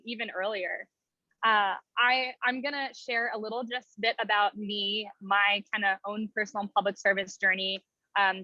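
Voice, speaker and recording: A woman's voice: mid-range voice, pushed and bright, no room sound, dull audio. A young woman in her twenties, giving a casual talk over a video call, chatty and full of fillers and restarts. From Thailand and Minnesota. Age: 20s. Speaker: guest speaker. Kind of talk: casual presentation.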